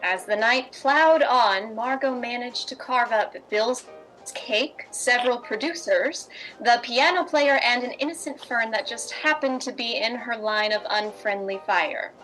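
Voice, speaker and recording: A woman playing a poised, worldly, reassuring playwright's wife in 1950s radio drama style, wry and measured. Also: on the high side, fairly bright tone, faint music behind, poor audio.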